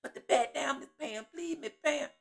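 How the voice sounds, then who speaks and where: A woman voicing a man caught breaking into a truck, begging and apologizing in a whiny, higher voice. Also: moderate pace, creaky. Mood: pleading.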